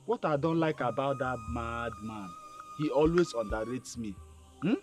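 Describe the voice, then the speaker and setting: An actor playing Udoka, a young man gone mad who mutters to himself and then shouts at his mother. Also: mid-range voice, lively intonation, unhurried, soft background music, lightly acted. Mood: muttering.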